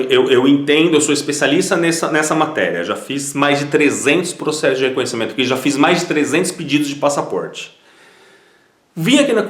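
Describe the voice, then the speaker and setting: Lively Brazilian man in a roomy space. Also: fairly high pitch. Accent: Brazilian Portuguese. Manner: animated, conversational, repeats words as he restarts. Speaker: Italian citizenship consultant. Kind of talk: casual advice vlog talking to viewers.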